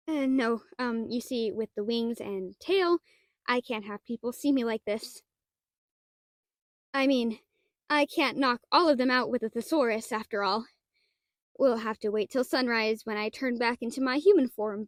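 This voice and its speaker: A very high, girlish voice, recorded close and dry. It is a woman acting as a shy young half-dragon librarian, bookish and easily flustered, who stumbles over words in an intimate roleplay aimed at the listener. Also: no room sound. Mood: excited, bashful. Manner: hesitant, stammering, bookish wording, easily flustered.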